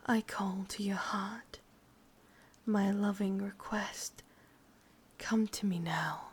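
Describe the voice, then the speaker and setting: A woman's voice: mid-range voice, slightly rough, heavily acted. A woman with an English accent playing a sadistic, possessive yandere witch in an ASMR roleplay, mostly whispering in a coaxing yet commanding way. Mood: slow, incantatory.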